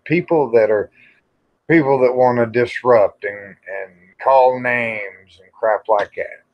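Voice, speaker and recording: Casting: American man, plain-spoken livestream host, very slow rambling delivery, muffled lo-fi sound. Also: on the low side. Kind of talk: casual rambling chat with live viewers. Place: outdoors in the evening. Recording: dull lo-fi livestream audio.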